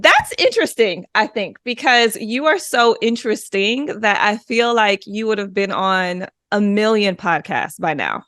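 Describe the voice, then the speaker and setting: A woman's voice: mid-range voice, animated, a little slow, few pauses, a bit bright, dry recording. A woman hosting a podcast, chatting in a bubbly, effusive way and gushing over her guest. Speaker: podcast host. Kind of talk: casual interview conversation.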